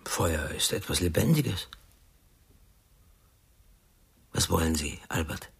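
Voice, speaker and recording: A man's voice: deep voice, lively intonation, normal speed, vocal fry, husky, exaggerated voice. An actor playing an enigmatic, melancholy, philosophical man who answers evasively and laconically in a radio crime drama. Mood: guarded. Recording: loud music under the voice.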